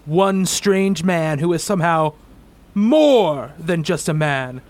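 A man's voice: a little high, expressive, darkish tone. A man playing a theatrical comic-book narrator, declaiming scripted narration in an amateur radio play. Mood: dramatic. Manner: melodramatic, fast, declamatory.

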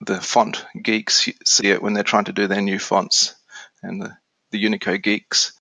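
Australian male, forties, lowish gravelly voice, casual explainer, dry close recording. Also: flat delivery, measured pace, bright, forceful tone, no room sound, low-quality recording. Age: 40s. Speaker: interview guest, software developer. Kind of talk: casual explanatory interview talk.

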